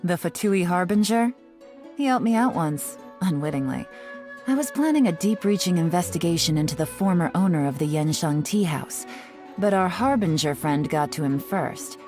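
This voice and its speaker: A woman voicing a cool, shrewd, teasing intelligence agent in a video game, speaking in a composed, measured, sly manner. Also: on the low side, lively intonation, a little mellow, faint music behind, close to an everyday voice. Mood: sly, businesslike. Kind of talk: scripted video game character monologue.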